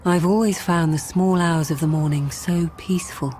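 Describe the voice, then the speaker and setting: An actress playing a calm, ruthless politician who narrates a radio drama in a measured, controlled and quietly menacing way. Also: female voice, fairly low pitch, background noise, lightly acted. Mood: cool, controlled. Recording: music behind the voice.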